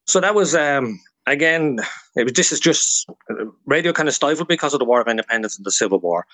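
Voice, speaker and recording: Irish bloke, history expert being interviewed, explains stuff like a story, medium pitch, dry studio. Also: male voice, animated, a little fast, fairly bright tone, dry recording, rough recording. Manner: informative, storytelling. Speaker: guest expert, radio historian. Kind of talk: informal explanatory interview talk.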